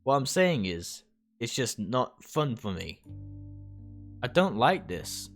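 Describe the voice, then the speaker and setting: A man's voice: mid-range voice, big pitch swings, takes it slowly. A man reading the part of a grumpy, withdrawn man in a visual novel, reluctant and curt. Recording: music behind the voice.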